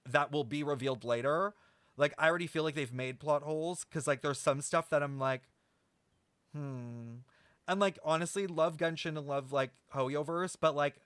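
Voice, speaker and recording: Higher-voiced man streaming, dry close sound. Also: fairly bright tone, dry recording. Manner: rambling, thinking aloud, profane. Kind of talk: casual game stream commentary.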